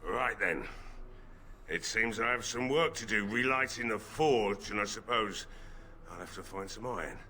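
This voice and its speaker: A bright-toned, bitter drunk blacksmith. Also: male voice, lowish voice, measured pace, a little hiss or hum. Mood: grumbling. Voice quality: bitter, weary, slurring drink-talk. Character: grieving drunk village blacksmith, middle-aged man. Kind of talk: video game voice acting.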